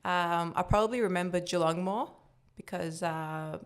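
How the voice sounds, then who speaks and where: A woman's voice: fairly low pitch, normal speed, clean tone. A young woman whose first language is Turkish, chatting easily as a guest on a podcast interview. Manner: relaxed, chatty answers. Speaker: guest, building cost estimator.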